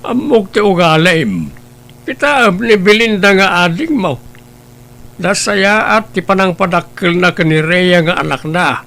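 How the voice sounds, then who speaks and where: A man doing a grave elderly grandfather in a spoken family drama, talking slowly and reproachfully. The voice is gravelly and fairly high for a man, with a sharp, bright edge. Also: exaggerated voice. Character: grave elderly grandfather, stern but caring. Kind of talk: Ilocano radio-style family drama.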